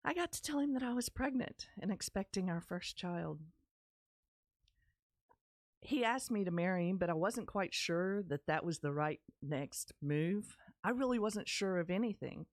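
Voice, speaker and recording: A middle-aged woman with a Texas accent tells her personal story on a podcast, earnest and candid as she speaks straight to her listeners. Her voice sits a little low. She is recorded close on a studio microphone in a small studio, with a dry, dead sound. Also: no echo. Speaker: podcast host. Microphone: studio mic on stand, close, with headphones.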